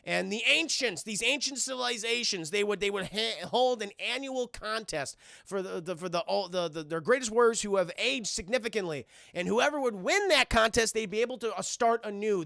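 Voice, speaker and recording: High-pitched, creaky male cartoon review streamer. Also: no room sound, studio quality. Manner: profane, acts out characters' dialogue excitedly. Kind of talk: animated scene-by-scene recap for live chat.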